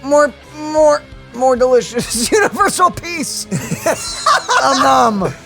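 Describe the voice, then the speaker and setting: Goofy dry gamer guy, lively voice, game music playing behind. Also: extremely high pitch, slow pace, creaky. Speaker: gaming channel co-host. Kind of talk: casual game reaction commentary. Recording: let's play audio over game music.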